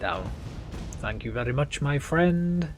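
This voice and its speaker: A man's voice: medium pitch, animated, slow pace, faint music behind. A man giving casual commentary as he plays through a video game. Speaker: gamer narrating a playthrough.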